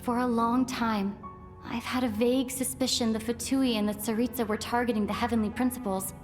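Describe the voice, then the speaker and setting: Woman as young game heroine, fairly high voice, composed, reflective, earnest, music behind. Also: steady tone, a little fast, lightly acted. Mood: pensive, troubled. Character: calm, thoughtful young female traveling adventurer. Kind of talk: video game voice acting.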